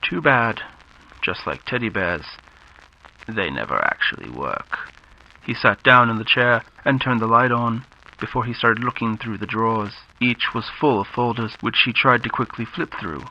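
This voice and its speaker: A man narrating a scripted horror story in the style of classic radio drama, with measured, dramatic delivery. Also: mid-range voice, bright, forceful tone. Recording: muffled lo-fi audio with background noise.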